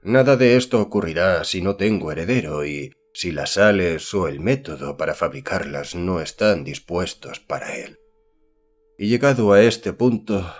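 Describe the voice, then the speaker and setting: A man voicing a secretive colonial occultist, reading his letter in formal, archaic, courteous diction for a horror audiobook. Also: low voice. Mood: ominous.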